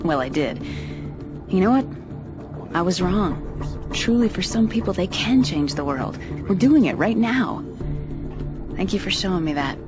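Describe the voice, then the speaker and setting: An actress playing an earnest, spirited, brisk female military officer in a sci-fi game cutscene. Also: on the low side, animated, music in the background. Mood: earnest, warm. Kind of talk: scripted game cutscene acting.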